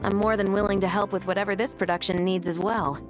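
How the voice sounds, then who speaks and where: A woman's voice: medium pitch, quick pace, close to an everyday voice. An actress playing a helpful, easygoing woman musketeer. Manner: relaxed, obliging. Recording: game audio with music behind.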